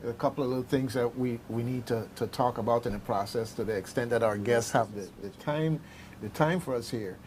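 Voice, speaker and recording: Halting male radio host, some background noise. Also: medium pitch, darkish tone. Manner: halting, rambling, repeats words. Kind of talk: unscripted talk radio hosting.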